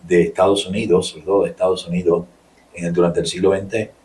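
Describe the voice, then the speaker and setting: A man with a Puerto Rican accent, a friar answering interview questions in a measured way, with pauses and restarts. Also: sits low, little pitch movement, a little mellow, far from the mic, poor audio. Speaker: Capuchin friar and guest.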